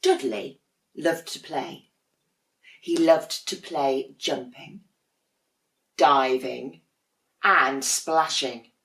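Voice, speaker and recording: A woman, a teacher, reading a children's storybook expressively with dramatic pauses in an echoey room. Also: low-pitched, animated, creaky, fairly bright tone.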